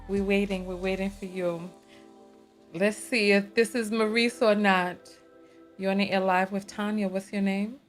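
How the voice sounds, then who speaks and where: A woman runs a live call-in show, welcoming callers and nudging them along with brief prompts. Her voice sits at an ordinary middle pitch, with faint music playing underneath. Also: fairly even intonation, normal speed. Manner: short prompting interjections. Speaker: radio call-in host. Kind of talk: call-in radio hosting.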